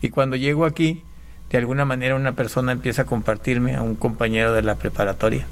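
A middle-aged man from Panama giving a conversational personal testimony as an interview guest, often repeating words and clearing his throat as he goes. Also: medium pitch, steady tone, fast. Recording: clearly noisy background.

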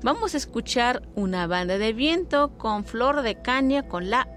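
A woman's voice: a little high, animated, a little slow, a bit bright, soft background music. A woman whose first language is Nahuatl hosts a bilingual radio show, talking in an easygoing way with frequent filler words and friendly invitations to listeners. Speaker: radio host.

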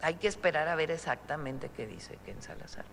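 A Mexican woman holding a press conference, answering questions in a measured, deliberate way with frequent pauses. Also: sits low, animated, vocal fry, fairly bright tone, long reverb tail, a little hiss or hum.

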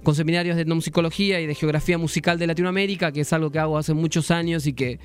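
This is a man from Argentina, a musician guest on a radio program, and his voice is smooth and resonant, pitched a little high. He speaks thoughtfully, often restarting phrases as he reaches for the right words. Soft music plays faintly behind him. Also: steady tone, very fast. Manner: reflective, searching for words with many restarts. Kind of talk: radio interview.

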